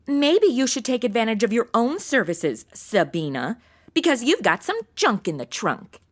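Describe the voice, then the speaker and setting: A woman's voice: fairly high pitch, very animated, normal speed. A woman playing a brash, jealous, catty young American woman in a comedy audio drama, sassy and slangy. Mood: smug, mocking. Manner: sassy, sarcastic, modern slangy talk.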